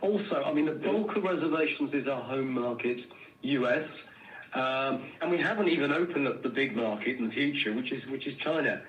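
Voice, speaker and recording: A man answers questions as a company executive on a conference call. He phrases things earnestly and deliberately, often repeating small words. The sound is muffled and echoey, set well back from the microphone. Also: mid-range voice, distant mic.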